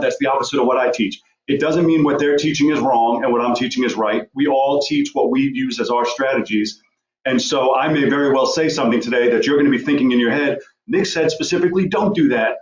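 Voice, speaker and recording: Fast-talking man with a faint New York accent. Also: mid-range voice. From New York, Long Island. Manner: fast-talking, informal and direct. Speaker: guest speaker. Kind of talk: webinar presentation. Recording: echoey, dull video call audio.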